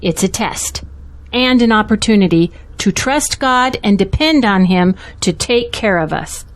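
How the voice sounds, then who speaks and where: A woman's voice: mid-range voice, expressive, a little slow, natural voice. Steadfast, devout pastor's confidante for audio drama female actor: mid-range voice, earnest, supportive, reassuring.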